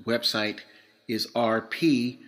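A middle-aged man from Florida hosts his podcast, his voice a little hoarse. He talks in a measured, prepared way, reading from notes with the odd filler. His pitch sits in the middle range for a man. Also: steady tone, fairly bright tone. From Florida, USA. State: slightly hoarse. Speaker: podcast host.

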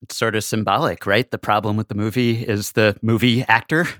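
A man with a slightly low voice talks as a podcast guest. He is casual and analytical, with a wry humor. The sound is close and free of room echo. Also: fairly even intonation, brisk, fairly bright tone.

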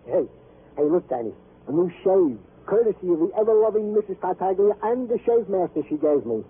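A man playing a chatty, proud, comical American police sergeant in an old radio drama, husky and pompously folksy. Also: high voice, very animated, brisk, heavily acted. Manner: pompous mock-formal wording, folksy. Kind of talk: 1950s radio comic-relief acting. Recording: muffled vintage radio broadcast recording.

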